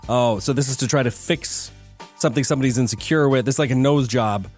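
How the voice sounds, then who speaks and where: A man's voice: medium pitch, fairly quick, a little mellow, faint music behind. Casual male morning radio host. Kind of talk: casual banter on a morning show. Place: radio studio. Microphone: studio broadcast mic.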